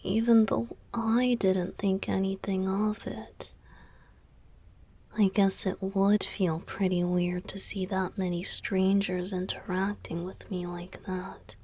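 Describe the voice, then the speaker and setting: Casting: woman as young male idol boyfriend for romantic ASMR audio drama; tender, wistful, slow, breathy whispering with creaky edges. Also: mid-range voice, little pitch movement, dry recording. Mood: apologetic, tender. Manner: soft, hesitant, pleading, confiding.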